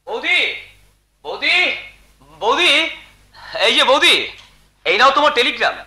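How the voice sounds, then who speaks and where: Shrill, swooping scheming villain. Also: male voice, big pitch swings, measured pace, pushed and bright, exaggerated voice. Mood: urgent. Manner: theatrical, emphatic, declamatory. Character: scheming, falsely solicitous young brother-in-law villain. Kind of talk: Bengali jatra folk-theatre melodrama.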